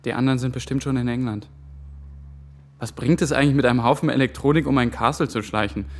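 A man's voice: mid-range voice, steady tone, fast talker, close to an everyday voice. A man playing an earnest, anxious young intern who talks warily in a radio drama. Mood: skeptical. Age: young adult. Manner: wary, questioning.

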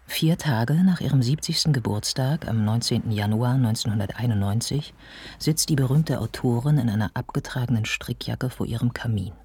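A man acting as the sober narrator of a radio drama, with measured, literary, matter-of-fact delivery. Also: medium pitch, darkish tone, breathy edge. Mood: sober, observing. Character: sober male narrator observing an ageing writer's life.

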